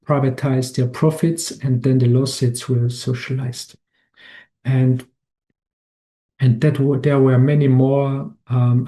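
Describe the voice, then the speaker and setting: Halting Austrian-born man giving a webinar. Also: mid-range voice, warm tone, rough recording. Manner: halting, many restarts and fillers. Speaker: webinar presenter. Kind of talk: slide presentation talk.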